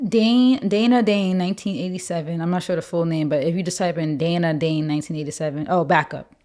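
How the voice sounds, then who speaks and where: A young woman co-hosting a podcast with a deep voice, rambling casually with lots of "like". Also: warm tone. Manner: fast rambling talk full of "like". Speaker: podcast co-host. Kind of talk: casual chat.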